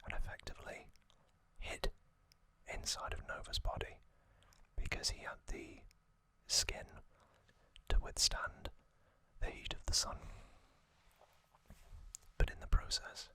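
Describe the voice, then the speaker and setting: A man whispering as an ASMR host, telling a story softly in short, halting phrases. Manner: soft, unhurried, halting delivery in short phrases.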